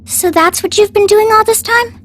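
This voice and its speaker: A woman's voice: extremely high pitch, normal speed. A woman playing a sweet, delicate young princess in a video game, with polite, formal, tender diction. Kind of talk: dramatic video game voice acting.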